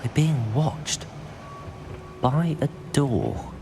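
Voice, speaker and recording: An actor playing a confident, protective young student wizard in a video game, with a resolute manner. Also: male voice, medium pitch, expressive, drawn-out words, gravelly, background noise, heavily acted. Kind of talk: video game hero acting.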